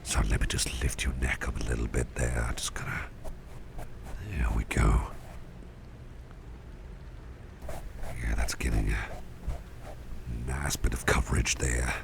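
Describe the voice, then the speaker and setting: A man playing a gentle, doting businessman boss in an ASMR roleplay, speaking in a soft half-whisper with a tender, reassuring manner. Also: rumbling low, little pitch movement, exaggerated voice. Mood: soothing, tender. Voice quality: soft, breathy half-whisper with gravelly fry. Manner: tender, reassuring, unhurried, hesitant murmuring. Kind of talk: ASMR roleplay, personal attention. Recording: clear background noise with ambience.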